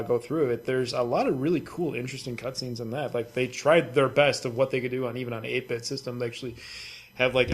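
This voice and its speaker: A man co-hosting a podcast in casual gaming chat, informal and freely swearing. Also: mid-range voice. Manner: informal, profane. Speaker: podcast co-host.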